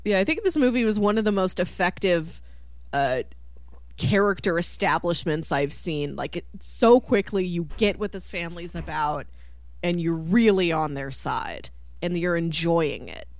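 A woman chatting casually about film as a podcast co-host, with an animated, enthusiastic and giggly manner. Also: fairly low pitch, moderate pace, no room sound. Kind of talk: casual chat.

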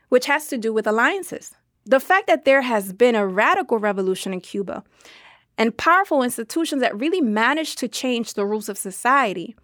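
A woman with a fairly high voice delivers a translated interview answer in a measured, explanatory manner. The sound is close and dry, with hardly any room on it. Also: a bit bright, dry recording. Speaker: voice-over translator for an interviewed scholar.

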